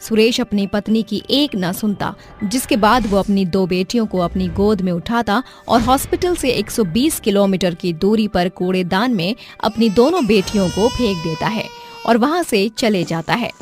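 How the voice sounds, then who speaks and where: A woman's voice: medium pitch, expressive, normal speed, darkish tone. A woman narrator reading a scripted dramatic story for listeners. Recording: music behind the voice.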